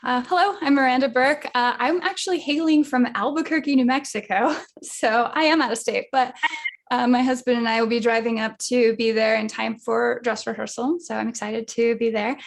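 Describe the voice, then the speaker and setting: A woman's voice: on the high side, steady tone, moderate pace, creaky. A woman, an actress, giving an upbeat, friendly and casual self-introduction.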